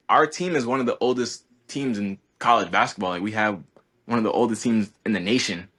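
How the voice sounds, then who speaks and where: A young man in his twenties with a deep, low-set voice. He chats casually and earnestly, stringing thoughts together with endless "like"s and restarts. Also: a little fast, fairly bright tone, breathy edge. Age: 20s. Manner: rambling, heavy use of "like", earnest. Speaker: college basketball player, guest. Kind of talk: casual podcast conversation.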